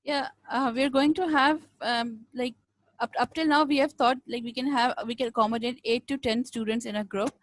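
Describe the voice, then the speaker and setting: A woman's voice: a little high, fairly even intonation, normal speed, no echo. A woman coordinator explaining class group sizes, switching between English and Hindi-Urdu. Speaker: course coordinator. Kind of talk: online class orientation. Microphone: video call.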